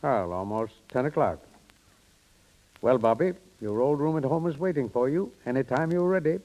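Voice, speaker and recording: A man's voice: mid-range voice, expressive, normal speed, natural voice, rough recording. An actor playing a fatherly, reflective older man in the style of 1930s radio drama. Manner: gentle, philosophical, reflective. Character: wistful, fatherly older man advising his son-in-law.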